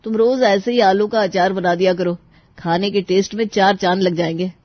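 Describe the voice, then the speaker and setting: Brief: woman voicing a jolly young husband, enthusiastic, mid pitch, dry dull mic. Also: fairly even intonation, moderate pace, no room sound, lightly acted. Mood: delighted. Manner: enthusiastic, praising. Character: young husband, cheerful and greedy for pickle. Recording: dry, slightly muffled studio voice.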